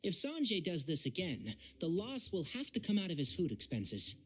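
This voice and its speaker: An actor playing a brisk, wry young male botanist in a video game, articulate and matter-of-fact. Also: high voice, wildly swooping pitch, faint music behind. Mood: exasperated.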